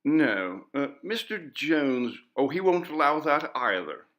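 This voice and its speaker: A man's voice: a little high, very animated, takes it slowly, fairly bright tone, voice pushed up, full character voice. A man voicing a wry, uneasy, genteel lady in an audiobook ghost story. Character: Lady Jane, uneasy, wry English gentlewoman. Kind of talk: audiobook character dialogue.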